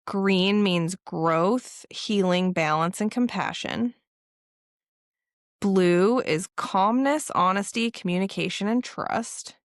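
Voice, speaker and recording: A young woman co-hosting a podcast, telling a story in an animated, casual way with laughs. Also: mid-range voice, takes it slowly, no room sound, clean studio sound. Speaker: podcast co-host. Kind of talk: casual chat.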